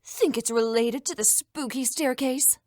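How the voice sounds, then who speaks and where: A woman's voice: high-pitched, darkish tone, no room sound, studio quality. A woman voicing a mischievous, teasing companion who relishes spooky things, drawing out words playfully in a fan dub. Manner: drawn-out, teasing delivery.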